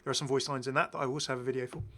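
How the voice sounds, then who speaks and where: A man's voice: mid-range voice, flat delivery, rapid-fire, fairly bright tone. A man hosting a gaming video with casual, enthusiastic and chatty commentary. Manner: chatty, prone to chuckling asides.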